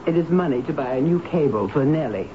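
An actress playing a dramatic, soft-hearted but indignant spinster tenant in a radio drama, speaking in a theatrical, emphatic way. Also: female voice, low voice, animated, fast, highs cut off. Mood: indignant, scornful. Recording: thin, noisy vintage radio broadcast.

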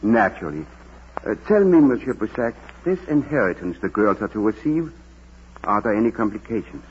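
An actor playing a kindly parish priest, thoughtful and measured, in a Golden Age radio drama. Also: male voice, fairly low pitch, big pitch swings, slightly rough, exaggerated voice. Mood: measured, discreet. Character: gentle, wise, patient male parish priest. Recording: dull old radio drama recording.

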